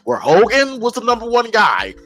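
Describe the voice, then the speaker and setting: A man's voice: very high voice, huge pitch swings, moderate pace, fairly bright tone, soft background music. An American man giving casual, emphatic opinion commentary as a podcast host, informal and often repeating phrases as he thinks aloud.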